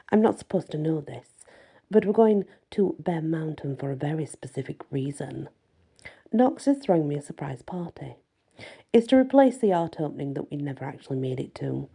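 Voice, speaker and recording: A woman reading a first-person fantasy story as soft ASMR audiobook narration. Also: low voice, gravelly, husky. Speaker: story reader.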